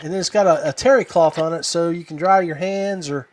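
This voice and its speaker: A man hosting a podcast, chatting casually and informally in a halting, unscripted way. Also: on the high side, crowd noise. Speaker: podcast host.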